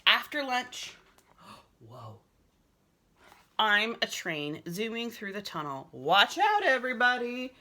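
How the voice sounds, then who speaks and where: A woman's voice: fairly high pitch, pushed and bright, voice pushed up, exaggerated voice. A woman voicing an imaginative, boisterous schoolboy in a children's storybook, dramatic and full of sound effects. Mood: excited, playful. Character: imaginative, boisterous schoolboy who loves noises. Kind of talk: children's storybook narration in character.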